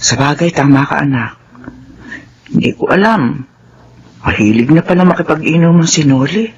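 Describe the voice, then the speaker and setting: A woman's voice: deep voice, normal speed, slightly rough. A woman acting as a devoted, long-suffering middle-aged widowed nanny and mother in a Filipino radio drama. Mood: earnest, resigned. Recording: dull, noisy radio drama recording.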